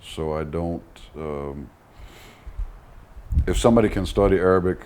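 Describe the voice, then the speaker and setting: A man's voice: sits low, measured pace, a little mellow, smooth. A man speaking as an interview guest in a reflective way, thoughtful and hesitant, searching for his words. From United States, Texas. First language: English. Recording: audible background noise.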